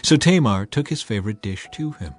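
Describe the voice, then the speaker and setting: A man reading scripture as an audiobook narrator, in a measured, expressive, dramatized storytelling style. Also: on the low side, soft background music.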